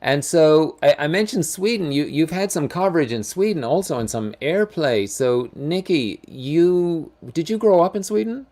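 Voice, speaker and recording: A man's voice: on the high side, lively intonation, normal speed, hardly any pauses, darkish tone. A man hosting a casual interview, friendly and often repeating words while he thinks. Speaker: host.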